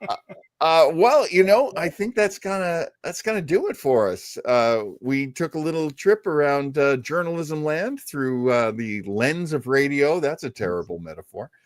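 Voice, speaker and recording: A man hosting a live show in a casual, halting, self-deprecating way. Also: on the high side, expressive, no echo, clean studio sound. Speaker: radio stream host.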